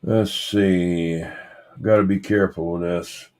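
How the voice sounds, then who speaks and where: A man's voice: deep voice, fairly even intonation, takes it slowly, darkish tone. A man hosting a casual, rambling livestream, muttering to himself in a folksy way as he talks. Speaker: livestream host showing vintage radios.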